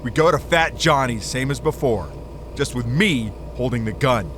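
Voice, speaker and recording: A man's voice: mid-range voice, animated, normal speed, fairly bright tone, slight background noise, lightly acted. A man playing a cocky, wry, hardboiled hero in a detective audio drama. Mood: commanding. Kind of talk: first-person detective drama narration.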